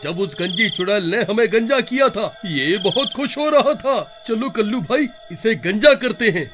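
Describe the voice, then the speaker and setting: A man voicing a scheming, excitable male villager in a Hindi cartoon, urgent and conspiratorial. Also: high voice, unhurried, music in the background. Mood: conspiratorial, eager. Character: scheming, excitable male villager, bald revenge-seeker's sidekick.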